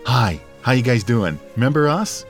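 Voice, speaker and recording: A man's voice: mid-range voice, big pitch swings, moderate pace, music in the background. A man co-hosting a podcast in casual chat, playful and joking, his voice scratchy and dry from allergies. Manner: playful, joking, laughs often. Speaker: podcast co-host.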